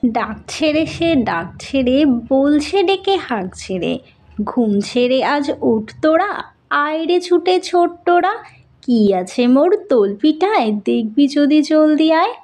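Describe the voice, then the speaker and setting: A woman teacher giving a scripted school lesson to young pupils, speaking in a deliberate, instructive way. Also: high voice, lively intonation, a little mellow. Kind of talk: scripted lesson narration with question-and-answer and poem recitation.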